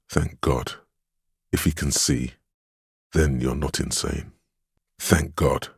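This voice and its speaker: An actor playing a stern, steadfast inner voice of willpower in a video game, giving measured, solemn counsel and urging composure. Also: male voice, extremely low pitch, a little mellow, husky, no room sound, studio quality, exaggerated voice. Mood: grave, unsettled. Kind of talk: dramatic video game voice acting.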